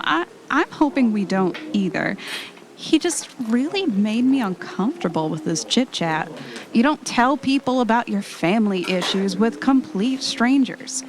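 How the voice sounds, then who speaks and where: A woman playing a fond, careful wife on vacation in an audio drama, chatty and gently chiding. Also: medium pitch, animated, moderate pace, lightly acted. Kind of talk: audio drama acting, conversational. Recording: faint background noise.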